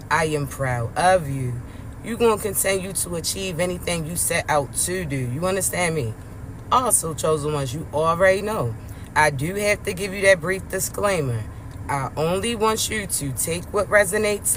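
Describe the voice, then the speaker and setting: A speaker with a raspy voice giving an emphatic motivational spiritual message to viewers, often checking in with the listener. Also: mid-range voice, slight background noise. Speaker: spiritual message content creator.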